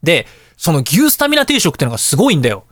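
A man hosting a radio show, chatting casually and telling anecdotes in an animated way, with exclamations and sound-effect words. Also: fairly high pitch, racing, no echo.

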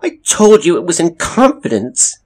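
A man's voice: high voice, huge pitch swings, moderate pace, breathy edge, voice pushed up, full character voice. Man doing a bitter, accusing neighbour woman, raised voice. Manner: sharp, accusing.

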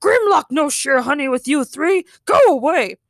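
A woman's voice: sits high, lively intonation, moderate pace, dry recording, clean studio sound, voice pushed up. A woman voicing a huge, greedy robotic T-Rex in a storybook reading, bellowing in broken caveman-like sentences. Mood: angry.

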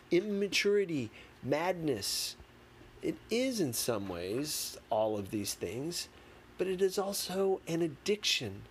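A man reading a memoir as an audiobook, in a deliberate, emphatic manner with dramatic pauses. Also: on the high side. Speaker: audiobook reader. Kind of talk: expressive scripted narration of a memoir. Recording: clearly noisy background.

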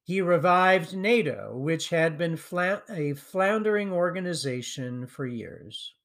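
Male podcast preacher, slightly high voice, slow and measured, reading a prepared sermon. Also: lively intonation, a bit bright.

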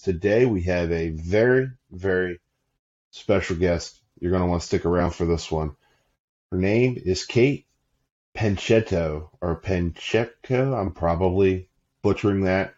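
A man's voice: deep voice, darkish tone, highs cut off. A man hosting a casual podcast, upbeat and often correcting himself as he introduces a guest. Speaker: podcast host.

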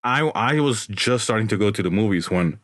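Guest from Honduras, forties, lowish voice, searching phrasing, dry sound. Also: male voice, expressive, brisk, fairly bright tone, no echo. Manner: thoughtful, many fillers and restarts. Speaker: podcast guest. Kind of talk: casual chat.

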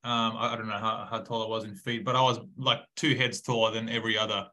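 A man's voice: fairly low pitch, level tone, fast talker, bright, forceful tone. A man, raised in Croatia and Australia, chatting in a friendly way as a podcast guest. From Croatia/Serbia, raised in Australia. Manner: friendly, frequent fillers. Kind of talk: casual interview.

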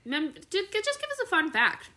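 A young woman co-hosting a podcast, chatting in a quick, upbeat way. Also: very high voice, sing-song, sharp tone. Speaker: podcast co-host. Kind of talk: casual chat.

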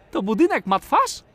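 A man's voice: extremely high pitch, a bit bright, voice pushed up, heavily acted. A man voicing a playful, curious little boy who exclaims and jokes in a children's audio play. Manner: exclaiming, inquisitive, cheeky.

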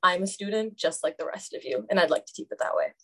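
A woman's voice: fairly low pitch, lively intonation, fast talker, fairly bright tone. A young woman talking as a student guest in a casual podcast roundtable, speaking candidly with a lot of "like" fillers. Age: young adult. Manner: candid, chatty, many "like" fillers.

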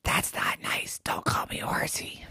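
Storybook kid: hoarse little boy, all whisper, stammering and eager. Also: pushed and bright, no echo, studio quality. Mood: pleading whisper. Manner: eager, stammering, rushing questions. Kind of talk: bedtime storytelling.

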